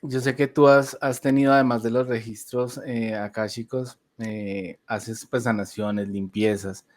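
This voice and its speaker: A man's voice: medium pitch, fairly even intonation, measured pace. Effusive male co-host, hesitant. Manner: effusive, hesitant with repeated words. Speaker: podcast co-host. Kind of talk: podcast interview.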